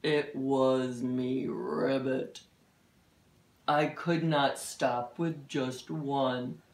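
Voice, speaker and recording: A woman voicing a remorseful, grumpy storybook toad who croaks between slow, mournful confessions. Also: very deep voice, steady tone, a little mellow, reverberant, pitched below the performer's own voice, exaggerated voice. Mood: mournful, apologetic.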